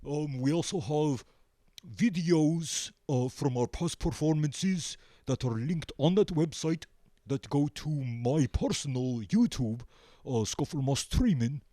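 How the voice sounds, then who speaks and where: Deadpan man, mellow and close. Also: a little high, measured pace, dry recording. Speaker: band member, show guest. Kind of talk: comic in-character interview.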